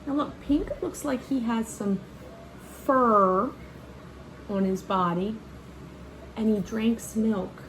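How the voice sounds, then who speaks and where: A woman with a slightly high voice reads a children's story at an unhurried pace. She speaks expressively to young listeners, adding little asides and questions as a teacher would. There is faint noise in the recording. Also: low-quality recording. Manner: expressive, child-directed, pauses to ask questions and point out pictures. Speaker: read-aloud storyteller and teacher. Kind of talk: children's picture-book read-aloud with asides.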